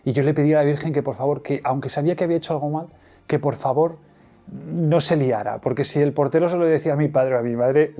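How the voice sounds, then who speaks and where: Hesitant man, mellow voice. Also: medium pitch. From Madrid, Spain. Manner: hesitant, many fillers and restarts. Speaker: interview guest. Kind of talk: personal testimony interview.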